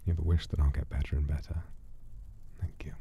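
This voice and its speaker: Very deep, dark, gravelly man's voice. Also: level tone, fast, slight background noise. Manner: soft-spoken, often dropping to a breathy murmur. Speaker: livestream host. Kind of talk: casual livestream chat, reflective.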